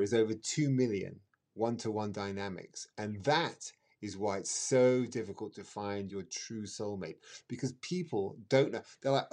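A middle-aged man explaining things conversationally as a podcast guest, emphatic, with dramatic pauses and repetition. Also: on the low side, darkish tone. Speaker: astrologer guest.

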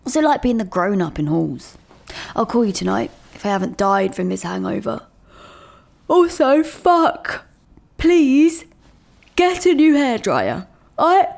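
An actress playing a chatty, hungover young student who leaves a casual, slangy voice note. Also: female voice, fairly low pitch, vocal fry, a little mellow. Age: young adult. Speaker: new student leaving a voice note.

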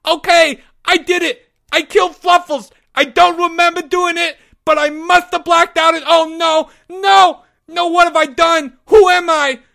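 Shrill, panicky schoolboy. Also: male voice, steady tone, extremely slow, vocal fry, hardly any pauses, a bit bright, full character voice. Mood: hysterical, sobbing. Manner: stammering, breathless, frantic. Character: panicky, squealing schoolboy accused of a crime.

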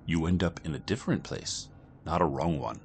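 A man voicing a calm, formal, mysterious European stranger with measured diction. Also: extremely low pitch, faint music behind.

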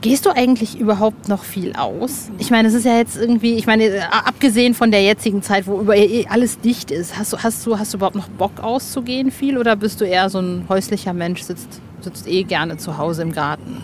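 A woman's voice: medium pitch, a little fast, hardly any pauses, a little hiss or hum. A woman hosting a podcast, asking questions in an enthusiastic, informal way. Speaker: podcast host, interviewer. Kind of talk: casual interview chat.

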